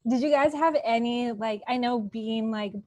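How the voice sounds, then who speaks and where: A bubbly woman in her late twenties hosts a relaxed interview, laughing easily and leaning on casual fillers. Her voice sits a touch high. The sound is close and dry. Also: normal speed, dry recording. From Colombian and Mexican heritage. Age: 20s. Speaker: host.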